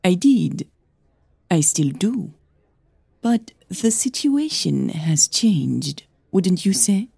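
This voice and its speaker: A woman's voice: on the low side, lively intonation, extremely slow, gravelly, mellow, slightly rough, no room sound, full character voice. A woman voicing a composed, wealthy older mother with dry amusement and frankness in an audio drama.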